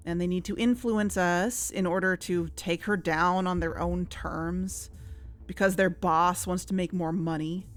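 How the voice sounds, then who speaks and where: Worried earnest woman, low voice. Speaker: co-investigator in an audio drama. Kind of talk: scripted audio drama dialogue. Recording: music bed underneath.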